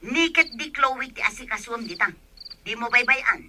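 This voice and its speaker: A woman's voice: a little high, animated, normal speed, sharp tone, slight background noise. A woman playing a stern, bossy, scheming village woman in an Ilocano radio drama, with an Ilocano accent. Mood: scolding, bossy.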